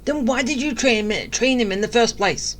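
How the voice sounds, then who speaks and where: A woman's voice: fairly high pitch, expressive, moderate pace. A woman giving casual, wry commentary while playing a video game, in an offhand way. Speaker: gamer commenting on play. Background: game music.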